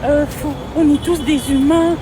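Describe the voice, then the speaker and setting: High-voiced chatty woman vlogger, noisy recording. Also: fairly even intonation, a little slow. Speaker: vlogger and real estate agent. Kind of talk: casual vlog chat and storytelling.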